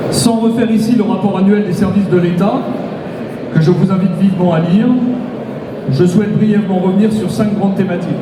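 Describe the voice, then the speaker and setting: A man with a fairly high voice delivers a formal, measured prepared address as the state's representative, running through long lists of figures. His voice carries a long reverb from a large hall. It is picked up from afar, with constant background noise. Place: large exhibition hall at a public event. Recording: echoey hall, distant mic, background noise.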